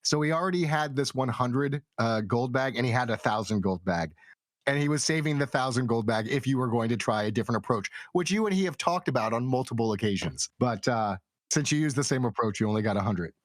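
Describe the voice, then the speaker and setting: A man's voice: mid-range voice, animated, fairly quick, no echo. A man acting as dungeon master, narrating a tabletop game and explaining it casually.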